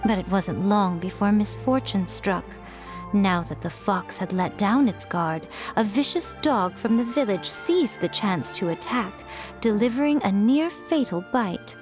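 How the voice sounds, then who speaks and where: A woman playing a self-assured, teasing fox spirit shrine maiden in a video game, with playful, coy and deliberate phrasing. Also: mid-range voice, natural voice. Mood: wistful storytelling. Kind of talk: polished video game character acting. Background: music.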